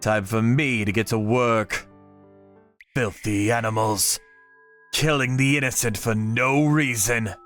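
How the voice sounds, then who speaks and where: A man reading scripted dramatic narration in a grave, measured way. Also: mid-range voice, steady tone, fairly bright tone. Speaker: narrator of a manga story, telling it in first person as a vigilante character. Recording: music behind the voice.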